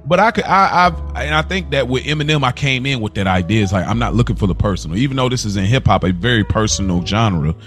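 A man's voice: mid-range voice, fast, hardly any pauses, fairly bright tone, faint music behind. A man co-hosting a podcast, talking in a casual, earnest way and often repeating himself. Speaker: podcast co-host. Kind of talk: casual chat.

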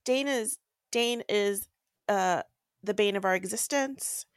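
A woman's voice: medium pitch, slow, clean tone, dry recording, studio quality. A woman co-hosting a book podcast, chatting casually and stating her opinions emphatically. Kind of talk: casual chat.

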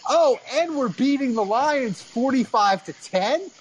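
A man hosting a casual sports talk show, telling stories in an animated, blunt way. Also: very high voice, a little slow, rough recording. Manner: animated storytelling with blunt swearing. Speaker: sports podcast host. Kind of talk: casual sports fan chat. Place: remote group call on a live audio app.

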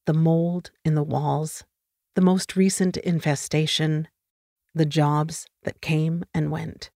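A woman giving a measured, reflective reading of a personal essay on a close studio mic. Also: deep voice, a little mellow, no echo. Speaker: reader of an essay.

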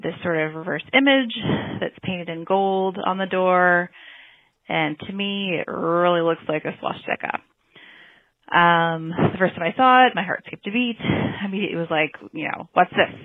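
A woman from the US Northwest telling a story in a voicemail, hesitant with many pauses and fillers. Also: fairly low pitch, no echo. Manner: hesitant, many ums and you-knows. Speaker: voicemail caller. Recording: tinny phone-line voicemail.

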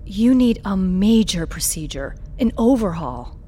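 An actress playing a blunt, world-weary parts dealer in a science-fiction audio drama, talking in a familiar way. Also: female voice, mid-range voice, expressive, measured pace, dark tone, a little hiss or hum, close to an everyday voice. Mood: stern, concerned.

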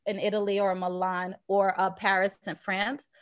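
A woman's voice: medium pitch, little pitch movement, moderate pace, clean tone. American woman telling personal stories conversationally. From born in Jamaica, grew up in Boston, USA. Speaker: podcast guest, anthropologist and professor. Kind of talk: conversational interview, personal storytelling.

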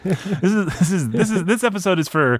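A man's voice: sits high, brisk, dry recording, clean studio sound. A man hosting a podcast in casual chat, joking around in a playful way. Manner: jokey, playful, animated. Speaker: podcast host.

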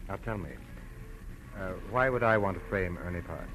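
A man's voice: sits low, normal speed, a bit bright, faint music behind, low-quality recording. An actor playing a dapper, mock-polite male casino crook in a 1940s radio crime drama, on an old recording. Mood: guarded, cool. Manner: mock-polite, slick, guarded. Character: smooth, dapper small-time male casino racketeer.

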